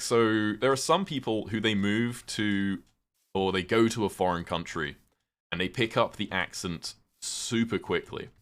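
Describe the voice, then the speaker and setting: A young man with a British accent and a notably deep voice talks loosely to his live chat as he plays. He drifts between topics, filling pauses with "um" and "like". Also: fairly bright tone. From Britain. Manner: rambling, chatty, lots of "um" and "like". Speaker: streamer. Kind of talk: casual live-stream chat while gaming.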